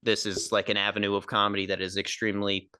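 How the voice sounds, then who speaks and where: A man's voice: fairly low pitch, level tone, quick pace, sharp tone, clear, resonant tone, no echo, studio quality. A young man, a stand-up comedian, talking casually on a podcast in a nasal voice, rambling with lots of fillers. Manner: rambling, filler-heavy, laughs easily.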